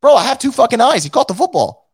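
A hoarse man whose voice is nearly gone rants through a casual fan show. His pitch sits high and swings widely, and he talks bluntly, swears freely and restarts his sentences often. The sound is dry and close. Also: big pitch swings, fast talker, no echo. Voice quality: blunt and profane, with many restarts. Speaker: fan show host reading live chat. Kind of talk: casual post-game rant.

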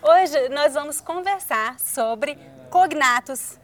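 A Brazilian woman hosting a casual language chat, saying words slowly and clearly for learners. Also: high voice, expressive. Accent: Brazilian Portuguese. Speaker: host.

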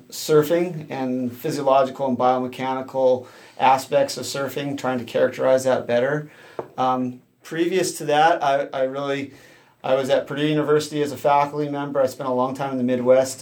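A man from Southern California, an associate professor, answering interview questions in a steady, explanatory way with frequent fillers. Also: mid-range voice, moderate pace.

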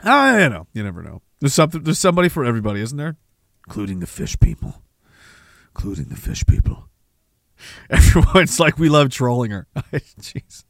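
A man's voice: on the high side, huge pitch swings, fairly quick, gravelly, pauses often, fairly bright tone, dry recording, clean studio sound. A man hosting a livestream, reading chat comments and riffing on them sarcastically, often breaking into laughter. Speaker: livestream host.

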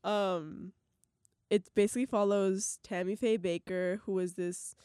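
A woman's voice: mid-range voice, fairly even intonation, a little slow, warm tone, smooth, dry recording, studio quality. A young woman with a slightly stuffy, sick voice, co-hosting a casual podcast chat, chatty and giggly with frequent restarts. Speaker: podcast co-host.

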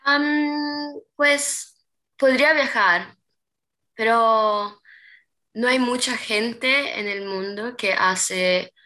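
A woman's voice: a little high, drawn-out words, pushed and bright. A young woman from Peru, a guest in a casual interview, who hesitates often with ums and restarts. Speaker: interview guest, artist's model.

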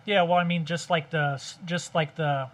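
A man's voice: fairly high pitch, steady tone, normal speed. A man co-hosting a casual podcast, rambling and hedging as he explains. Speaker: podcast co-host. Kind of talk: casual chat.

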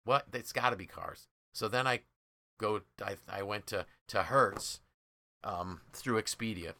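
A man's voice: fairly low pitch, measured pace, bright, forceful tone, a little hiss or hum. An American man co-hosting a casual business podcast, explaining things through enthusiastic storytelling. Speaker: podcast co-host.

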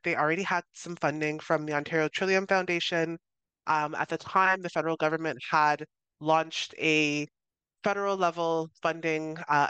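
A woman's voice: sits low, a bit bright, clear, resonant tone, dry recording. A Canadian woman in her thirties, a guest researcher giving long, earnest answers in an interview, peppered with "you know" and self-corrections. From Toronto, Canada.

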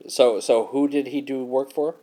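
A man's voice: medium pitch, fairly even intonation, moderate pace, dark tone. A man in his forties co-hosting a casual chat over a video call, wisecracking and swearing often. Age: 40s. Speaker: podcast co-host.

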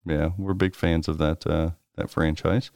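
A man's voice: very deep voice, level tone, a little slow, vocal fry, a little mellow, dry recording, clean studio sound. A man co-hosting a podcast, chatting casually and rambling with frequent restarts. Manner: rambling, lots of restarts and fillers. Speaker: podcast co-host. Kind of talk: casual chat about pinball news.

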